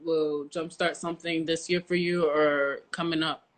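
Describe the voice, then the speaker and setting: A woman's voice: low voice, level tone, moderate pace. Slangy American woman hosting a podcast. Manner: slangy, informal, emphatic. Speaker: podcast host. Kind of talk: casual interview chat.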